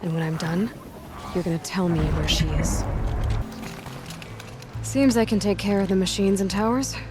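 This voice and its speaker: A woman voicing a determined young huntress, low and steady, trading blunt, dry lines with a stranger. It's video game dialogue, with a loud musical score running underneath. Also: normal speed, music in the background, lightly acted. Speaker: game protagonist.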